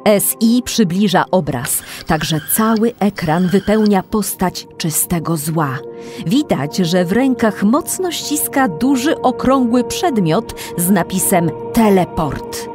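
A woman's voice: mid-range voice, lively intonation, takes it slowly. Woman narrating over music. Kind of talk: scripted radio play narration.

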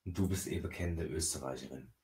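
A man in his thirties from Lower Franconia, joking with his wife on a livestream and reading viewer comments aloud. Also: fast, a little mellow. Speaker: co-host reading live chat. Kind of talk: joking live-stream banter. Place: home craft room, indoors. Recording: roomy echo, faint noise.

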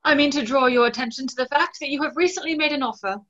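Resolute young gentlewoman, played by a woman: bright, high, carefully spoken, theatrical, on dull video-call audio. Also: quick pace. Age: young adult. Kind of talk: stage play reading. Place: small room at home, video call.

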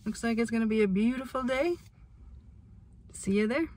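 Middle-aged woman, Minnesotan, casual travel vlogger; a bit high-pitched, rambling, self-amused, phone-selfie sound. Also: moderate pace, darkish tone. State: out of breath at altitude. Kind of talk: casual vlog to camera. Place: in a car, then outdoors on snowy ski trails. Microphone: phone held at arm's length.

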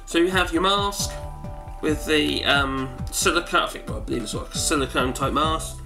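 A wheezy man in his forties talks through a casual how-to demonstration from a cluttered home study. He rambles and corrects himself as he goes, in a slightly high voice. Music plays clearly behind him. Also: moderate pace. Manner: rambling, chatty, self-correcting. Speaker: home video maker.

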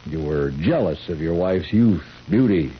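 An actor playing a skeptical, accusing sheriff in a 1970s radio drama, pointed and deliberate with hesitant pauses. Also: male voice, low-pitched, expressive. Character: skeptical, accusing male sheriff questioning a suspect. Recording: muffled, faintly noisy vintage radio recording.

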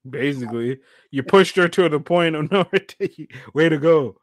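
A man's voice: on the high side, unhurried, dry recording, clean studio sound. A man co-hosting a casual video-call chat about a cartoon, slangy and joking, often with brief interjections. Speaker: co-host. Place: indoor room lined with boxed figures, on a video call.

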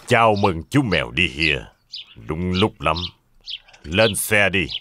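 A man's voice: low-pitched, lively intonation, slow pace, background noise. An actor playing a genial, formal old king in a fairy-tale cartoon. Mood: welcoming.

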